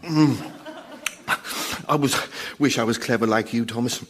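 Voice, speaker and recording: A man's voice: mid-range voice, unhurried, close to an everyday voice. An actor playing a meek, naive and devoted male minion with a wistful manner in a radio comedy drama.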